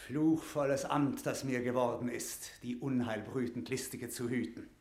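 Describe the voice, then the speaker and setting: A man's voice: mid-range voice, fairly even intonation, normal speed, a little mellow, lightly acted. Man playing a stern jailer knight in an echoing room. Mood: brooding. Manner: solemn, declamatory stage diction. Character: stern, suspicious middle-aged English knight guarding a captive queen. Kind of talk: classical German verse radio drama acting.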